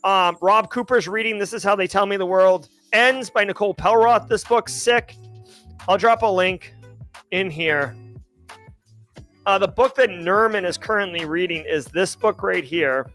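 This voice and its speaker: A man's voice: high voice, a little slow, fairly bright tone, faint music behind. A man in his forties hosting a casual livestream, reading chat questions in a rambling, upbeat way and often stopping to correct himself. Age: 40s.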